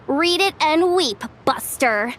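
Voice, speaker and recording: A woman's voice: high-pitched, animated, slow, fairly bright tone, slight background noise, full character voice. A woman playing a cocky, playful young female guard in a video game, brash, teasing and theatrical. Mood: cocky, tough.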